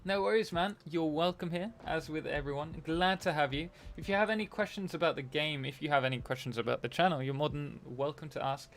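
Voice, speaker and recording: A man's voice: a little high, fairly quick, hardly any pauses, fairly bright tone. Chatty young man streaming a game. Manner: chatty, rambling, reads and answers chat messages. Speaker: live-streaming game host. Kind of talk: casual live-stream chat while playing.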